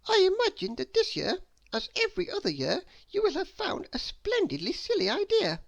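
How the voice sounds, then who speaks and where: A woman voicing a clever, prim little lady in a storybook, speaking high and precisely. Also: wildly swooping pitch, normal speed, creaky, exaggerated voice. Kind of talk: storybook character voice.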